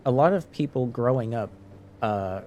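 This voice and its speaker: A man's voice: medium pitch, animated, moderate pace, a little mellow, soft background music. Rambling French Canadian man streaming. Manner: rambling, many restarts and fillers. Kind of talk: casual chat with stream viewers.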